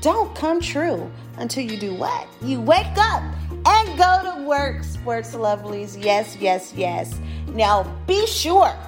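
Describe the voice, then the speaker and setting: A woman hosting a podcast, bubbly and quick to laugh, talking in an exclamatory, chatty way. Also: on the high side, sing-song, faint music behind. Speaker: podcast host. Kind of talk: casual interview chat.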